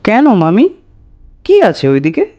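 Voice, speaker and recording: A man's voice: sits high, wildly swooping pitch, measured pace, warm tone, highs cut off, heavily acted. A man playing a timid, polite village boy in a cartoon story, hesitant and meek. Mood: innocent, curious. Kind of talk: dramatized cartoon dialogue.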